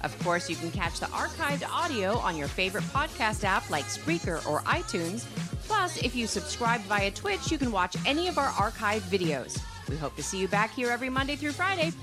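Teasing, lively woman co-host. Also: mid-range voice, moderate pace, over music. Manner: teasing, playful. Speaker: radio show co-host. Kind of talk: casual banter and scripted outro.

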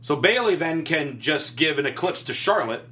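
A man co-hosting a casual wrestling recap show, recounting matches blow by blow in quick play-by-play. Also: a little high, animated, bright, forceful tone.

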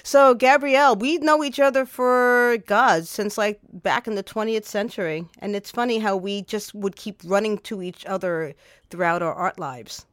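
Woman, radio host, from the Bronx, expressive, dry studio sound. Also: mid-range voice, moderate pace, no room sound. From New York, the Bronx. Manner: expressive, animated reading. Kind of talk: storytelling then casual interview. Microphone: shared microphone up close.

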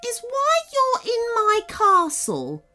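A shrill young storybook princess, spoiled and bossy. Her very high voice screeches and snaps out orders with wild swoops in pitch, pushed loud and imperious. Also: wildly swooping pitch, slow pace, soft background music, full character voice. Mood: snappish. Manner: imperious, snapping.

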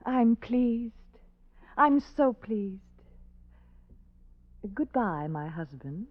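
An actress playing a devoted, demure wife on trial, speaking in prim, formal, theatrical lines in an old radio drama. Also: female voice, fairly high pitch, sing-song, very slow, no room sound, heavily acted. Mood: softly pleased. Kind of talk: 1950s radio drama acting. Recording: thin, phone-like old radio recording.

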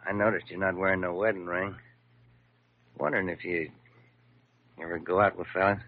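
An actor in an old radio drama playing a blunt, plain-spoken police sergeant with a rough way of talking, on a muffled recording. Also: male voice, low-pitched, brisk, dry recording. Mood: bashful. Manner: rough, uneducated grammar.

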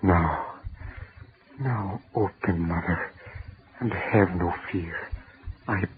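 An actor playing the mournful ghost of a drowned young man in an old radio drama. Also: low-pitched. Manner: slow, mournful. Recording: tinny old radio broadcast with hiss.

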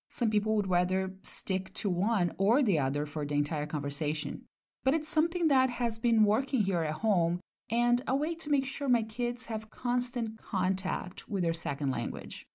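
A woman podcast host from Brazil, a native Portuguese speaker, telling a measured, reflective personal monologue. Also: medium pitch, a little mellow.

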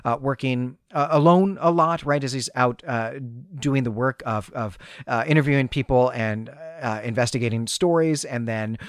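A man's voice: medium pitch, moderate pace, hardly any pauses, smooth, no room sound, clean studio sound. A man in his forties who teaches college, talking casually about a story with many fillers and restarts. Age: 40s. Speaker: podcast co-host, college teacher. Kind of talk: casual discussion of fiction.

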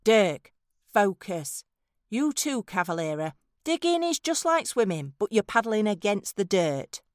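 Woman as a slow, dry, sardonic adventurer. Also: mid-range voice, big pitch swings, dry recording. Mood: impatient, bossy. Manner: dry, sarcastic, blunt. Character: sardonic, impatient, no-nonsense female adventurer. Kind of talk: fantasy audio drama acting.

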